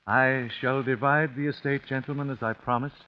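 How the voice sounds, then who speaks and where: A man's voice: medium pitch, steady tone, moderate pace, natural voice. A grim, vengeful father of some wealth played by a male actor speaks with solemn, formal deliberation in 1940s radio drama style. The recording is dull and muffled, with faint hiss. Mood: grim, composed. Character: grim, vengeful wealthy father, coolly judging his suspects.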